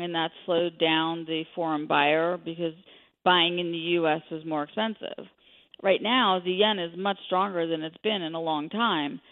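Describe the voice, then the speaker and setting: A woman speaking as an expert guest in a radio interview, giving commentary on the economy. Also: low voice. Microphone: telephone. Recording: narrow, tinny phone line.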